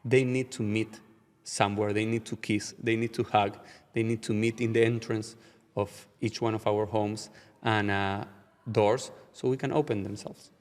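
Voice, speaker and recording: Argentine-accented rabbi with hall reverb. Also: male voice, lowish voice, steady tone. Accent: Argentine Spanish. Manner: measured, slows down to stress key ideas, frequent "uh" and "okay?". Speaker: rabbi, guest. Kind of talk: reflective interview answers.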